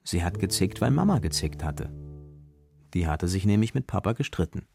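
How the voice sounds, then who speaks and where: A man narrating a children's radio play as a scripted story, with a gravelly voice. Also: sits low, sing-song, moderate pace, darkish tone. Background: music, plucked guitar.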